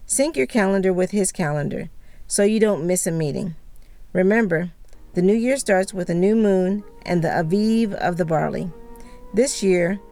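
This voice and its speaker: A woman co-hosting a religious podcast, reading a script in a calm, measured way. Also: on the low side, faint music behind. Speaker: podcast co-host.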